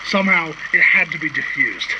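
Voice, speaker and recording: Man acting a world-weary British bloke, highish voice. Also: lively intonation, a little fast, lo-fi sound, natural voice. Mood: brooding, grim. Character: gruff, world-weary British working man narrating his story. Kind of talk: radio drama dialogue and narration. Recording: noisy radio-style ambience.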